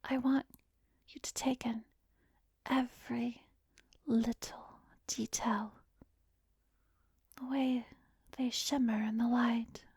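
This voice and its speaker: A very breathy, whispering female therapist, acted by a woman for an ASMR hypnosis roleplay. Her voice sits a little high and stays soft and coaxing. She guides slowly and soothingly, very close and dry on the microphone. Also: no echo, heavily acted. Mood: coaxing, intimate. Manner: slow, soothing, measured guiding with pauses. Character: calm, coaxing female therapist, secretly a manipulative vampiress. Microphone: close microphone, dry recording.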